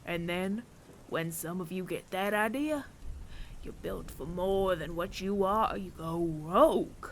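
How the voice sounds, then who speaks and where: A man playing a menacing, world-weary bounty hunter of androids in an ASMR audio roleplay, taunting the listener with deliberate pauses and often sinking to a near whisper. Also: sits high, a bit bright, slight background noise. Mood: musing, sardonic. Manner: slow, deliberate, taunting, with long dramatic pauses.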